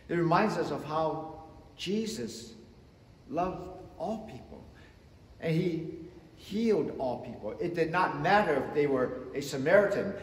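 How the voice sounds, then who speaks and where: A man in his fifties preaching a sermon from the front of a church sanctuary, slow and emphatic, swinging between loud and soft. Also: on the high side. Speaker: pastor. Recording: echoey church with long reverb.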